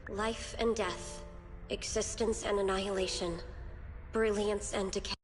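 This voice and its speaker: A woman's voice: mid-range voice, fairly even intonation, measured pace, natural voice. A woman playing a serene, scheming cultist zealot in a video game, speaking in a lofty, taunting, ceremonial manner. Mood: solemn. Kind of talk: dramatic video game voice acting. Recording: loud game music behind. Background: music.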